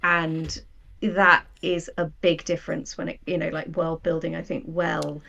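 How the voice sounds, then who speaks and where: A woman chatting casually as an interview guest, telling things in an animated, anecdotal way. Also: sits low, measured pace, gravelly, dull audio. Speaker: guest, sci-fi writer.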